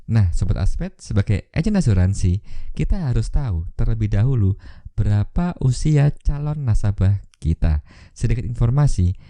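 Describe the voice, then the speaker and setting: A man's voice: fairly low pitch, very animated, normal speed, dark tone, no echo. A man presents a scripted educational video, instructing viewers directly. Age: 30s. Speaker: host of an insurance tips channel.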